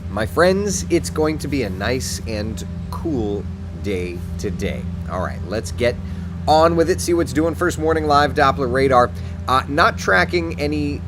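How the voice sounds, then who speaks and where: A man's voice: mid-range voice, lively intonation, a little slow, music in the background. A man chatting casually about the weather forecast on a livestream from his home patio, reading viewer comments aloud and sniffling from allergies.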